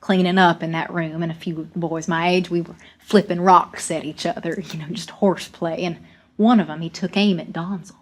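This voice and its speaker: A woman playing a plainspoken young coal miner, telling a story in halting, folksy rural speech with repeated words. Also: on the low side, fairly even intonation, natural voice. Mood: reminiscing. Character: young coal miner recalling a deadly cave-in, plainspoken, earnest. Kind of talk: dramatic first-person storytelling monologue.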